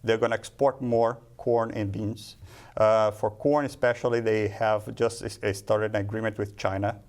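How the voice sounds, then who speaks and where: A man from Brazil, a native Portuguese speaker, answering questions as a market analyst in a studio interview, with halting restarts. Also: lowish voice, little pitch movement, a little mellow. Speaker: grain market analyst guest.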